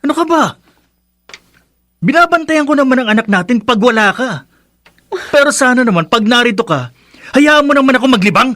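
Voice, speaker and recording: An actor playing a defensive, impatient young husband in a Filipino radio drama, speaking with a Filipino accent. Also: male voice, very high voice, animated, brisk, long pauses, pushed and bright, dry recording, studio quality, exaggerated voice. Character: defensive young husband, fond of drinking, brushing off nagging.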